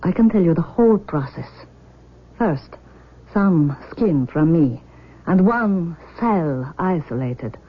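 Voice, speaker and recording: Slow-spoken, embittered, unyielding mother. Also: lowish voice, lively intonation. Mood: solemn, insistent. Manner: deliberate, intense, measured phrases. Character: embittered, unyielding mother demanding her daughter back. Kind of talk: 1970s radio drama acting. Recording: muffled old radio broadcast.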